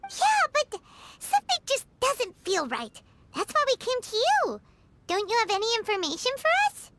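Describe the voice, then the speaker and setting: A woman voicing a tiny, bossy, chatty childlike fairy companion in a game, with a squeaky, excitable delivery. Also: normal speed, noisy background, exaggerated voice. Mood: indignant, worried. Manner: excitable, refers to herself by name. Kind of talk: English game dub, cartoonish cutscene acting.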